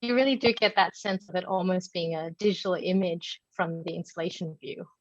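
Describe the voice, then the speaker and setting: A woman's voice: fairly low pitch, fairly quick, no echo. A woman moderating a panel, politely inviting the speakers to talk. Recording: dry, dull lo-fi sound.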